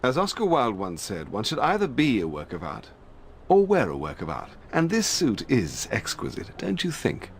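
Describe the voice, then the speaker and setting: Wildly swooping, pompous English gentleman spy. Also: medium pitch, wildly swooping pitch, normal speed, a little hiss or hum. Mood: suave. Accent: upper-class English. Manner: plummy, fussy, formal politeness. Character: pompous, dapper, jittery British secret service gentleman. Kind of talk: video game cutscene acting.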